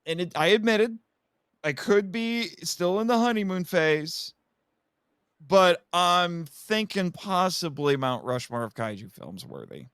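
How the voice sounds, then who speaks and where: A man's voice: sits high, sing-song, unhurried, a bit bright, smooth, no echo, clean studio sound. A man chatting casually about films as a podcast guest, opinionated and effusive, fond of superlatives. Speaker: podcast guest, kaiju film commentator. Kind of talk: casual film discussion.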